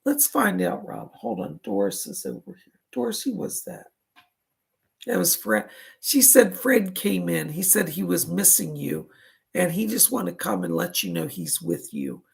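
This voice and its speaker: A raspy man with a heavily gravelly, crackling edge to his voice, talking as a psychic medium during live readings. His pitch swings widely up and down as he chats along in a soothing, reassuring way. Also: wildly swooping pitch, unhurried.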